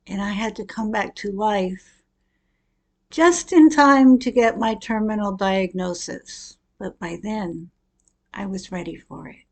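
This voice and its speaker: A middle-aged woman giving a prepared personal talk as a storyteller, with deliberate, expressive and reflective delivery. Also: mid-range voice. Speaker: speaker telling her own life story.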